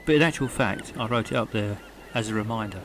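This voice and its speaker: An actor playing a matter-of-fact castaway rocket builder in a comedy audio drama, speaking dryly. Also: male voice, fairly low pitch, fast, background noise, lightly acted. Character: matter-of-fact male castaway who built a homemade rocket.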